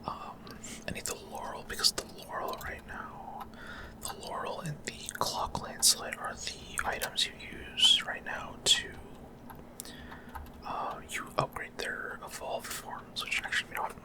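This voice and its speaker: A person whispering unscripted ASMR commentary over a video game, explaining things in a hesitant way. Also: a little slow.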